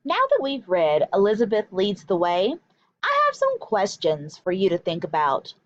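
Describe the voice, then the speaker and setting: A woman teacher reading a picture book aloud for young children, with deliberate, emphatic storytelling and instructional delivery, in a slightly dull recording. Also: medium pitch, wildly swooping pitch, a little hiss or hum.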